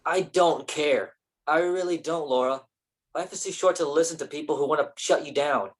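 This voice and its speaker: A woman's voice: low-pitched, normal speed. A woman in a staged play reading, playing a defensive, ambitious male film actor with a casual, profane manner. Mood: casually defiant.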